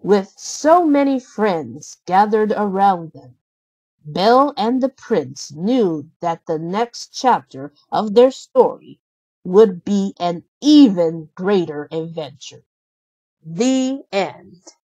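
A woman narrating a children's storybook in an expressive, deliberate read-aloud manner. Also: mid-range voice, no echo, low-quality recording.

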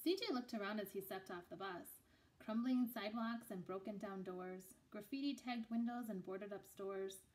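Woman, thirties, middle-pitched, children's storytelling, reading aloud. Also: normal speed, darkish tone, poor audio. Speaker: picture book reader. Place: small room indoors.

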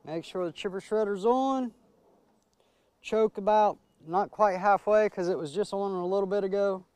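A middle-aged man hosting a homestead channel, casually explaining in a relaxed, plainspoken way how he splits boards and runs a chipper. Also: high voice, normal speed, smooth, dry recording. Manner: relaxed, plainspoken, loose with fillers. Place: outdoors in a wooded yard beside a wood chipper.

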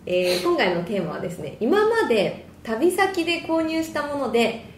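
A woman's voice: high-pitched, big pitch swings, moderate pace, echoey room, slight background noise. A woman hosting a radio talk show, attentive and reactive, introducing listener questions. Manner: attentive, lots of listening murmurs, bright reactions. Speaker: radio host.

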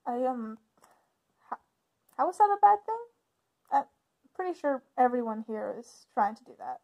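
A woman's voice: on the high side, sing-song, moderate pace, creaky, dull audio. A woman acting as a caring, informal big sister and young witch, hesitant and reassuring, in a conversational ASMR roleplay. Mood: surprised, supportive.

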